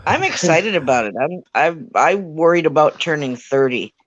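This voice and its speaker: A man's voice: a little high, very animated, moderate pace, a bit bright, no room sound, dull audio. A man in his late forties hosting a casual live chat, upbeat and preachy about faith and aging. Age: 40s.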